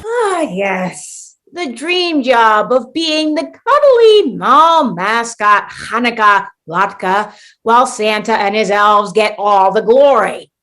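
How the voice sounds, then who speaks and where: Radio comedy lead: sarcastic, exasperated young woman played by an actress, high-pitched, animated, taking her time. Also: slow pace, exaggerated voice. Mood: sarcastic, lecturing. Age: young adult. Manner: theatrical, sarcastic, big exclamations. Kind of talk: comic radio play acting.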